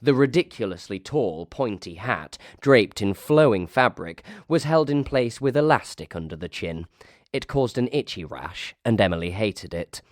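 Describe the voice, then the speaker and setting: A man's voice: on the low side, no room sound. A man reading a ghost story aloud as scripted narration, with a wry, dry comic delivery.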